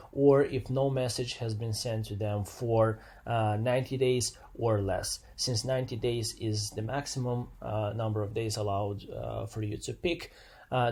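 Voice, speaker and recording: A man presents an unscripted software walkthrough, explaining step by step in a slightly hesitant way and repeating phrases as he goes. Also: medium pitch.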